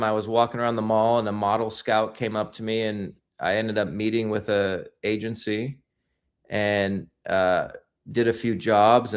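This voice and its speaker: A man being interviewed as a guest filmmaker, telling his stories in a casual, rambling way with lots of restarts. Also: lowish voice.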